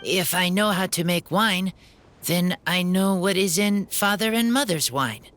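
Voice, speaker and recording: An actor voicing a shy young man raised by wolves, who speaks in halting, broken phrases in a game. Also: high voice, fairly bright tone. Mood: earnest. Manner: halting broken speech, slow and simple.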